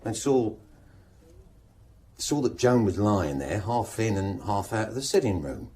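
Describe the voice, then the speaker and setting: A nervous shifty suspect in a radio play, low voice, stammery and hesitant, jumps up and down in pitch. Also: male voice, very animated, mellow, a little hiss or hum. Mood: flustered, pleading. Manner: halting, hesitant, stumbling over words. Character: nervous, evasive male suspect under police questioning. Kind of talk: naturalistic radio drama acting.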